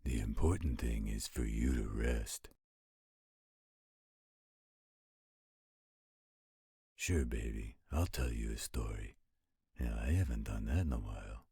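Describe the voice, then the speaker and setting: A man playing a gentle, protective werewolf in a roleplay audio, telling bedtime stories in a slow, intimate, caring way. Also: no echo, heavily acted. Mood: gently caring. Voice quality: very deep, husky, gravelly growl. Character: gentle protective male werewolf, soothing storyteller to his partner.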